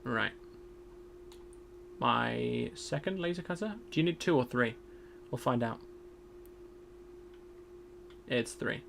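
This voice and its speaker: A man streaming a game in casual commentary. He is chatty and self-deprecating, thinks aloud, and has a loud voice. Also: mid-range voice, animated, slow, creaky, long pauses, slight background noise.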